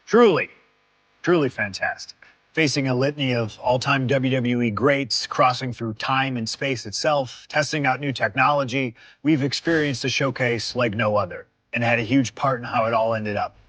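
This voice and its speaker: An actor playing a measured man delivering scripted lines in a video game cutscene. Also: mid-range voice, a bit bright, dry recording, close to an everyday voice, low-quality recording. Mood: measured, admiring.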